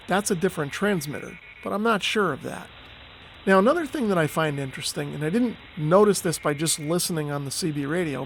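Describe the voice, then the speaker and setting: A man's voice: fairly high pitch, vocal fry, slight background noise, poor audio. A man hosting a hobbyist video, explaining step by step in a tentative, non-expert way as he walks through what is on screen. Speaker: radio hobbyist video host.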